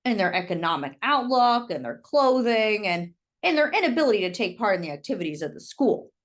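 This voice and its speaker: A woman's voice: medium pitch, very animated, a little fast, lightly acted. A woman voicing a prejudiced, blunt male school official testifying in a storybook read-aloud. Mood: cold, matter-of-fact.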